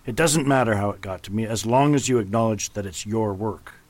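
A man acting as a stiff, cold university chancellor in a radio play. His voice is on the low side, and his manner is formal, measured and distant. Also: darkish tone, close to an everyday voice. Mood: stern.

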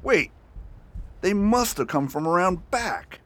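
A man playing a determined U.S. marshal in an amateur radio drama, steady and plain-spoken. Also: high voice, slow, heavily acted. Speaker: voice actor.

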